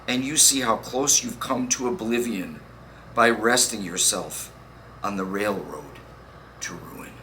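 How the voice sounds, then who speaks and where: A man reading poems aloud with deliberate, dramatic phrasing and pauses. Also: fairly low pitch, bright, forceful tone, low-quality recording. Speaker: poetry reader. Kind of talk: spoken poetry reading. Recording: faint background noise.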